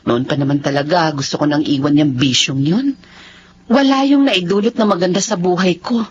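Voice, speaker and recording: A woman's voice: fairly low pitch, normal speed, slight background noise, lightly acted. A woman playing a remorseful, affectionate seaman's wife who keeps secrets, in an emotional Filipino radio drama. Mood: earnest, happy. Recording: muffled audio with music bed.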